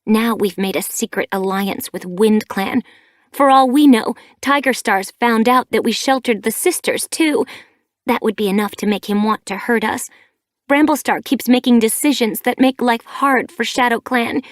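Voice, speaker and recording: A woman's voice: fairly high pitch, moderate pace, hardly any pauses, no echo, clean studio sound, voice pushed up, lightly acted. A woman reading an audiobook, voicing a young she-cat mother who is grieving and angry, with a raised, accusing voice. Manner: accusing, bristling.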